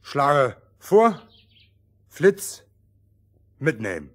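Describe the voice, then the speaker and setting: An actor playing an eager, simple fairy-tale runner who talks in clipped, broken sentences with a husky voice. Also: male voice, on the high side, sing-song, extremely slow, full character voice. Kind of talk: children's fairy-tale audio play.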